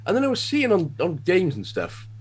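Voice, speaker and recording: A Welsh man talks on a gaming podcast in a loose, self-mocking way, dropping swear words as he goes. His pitch rises and falls with a lot of life, and his voice has a slightly husky edge. He is heard over a remote call mic. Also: wildly swooping pitch, normal speed. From Wales. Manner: swears freely, laughs at himself. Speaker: podcast guest. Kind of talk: casual podcast chat.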